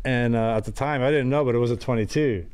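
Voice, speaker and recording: A man's voice: medium pitch, quick pace, darkish tone, clean tone. A middle-aged man from Belgium sits at a studio microphone telling a long, loose story as a podcast guest. His delivery keeps wandering, and he leans on "you know" between the beats of the anecdote. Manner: rambling anecdotes with frequent "you know" and laughs. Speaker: guest musician. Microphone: studio mic on boom arm.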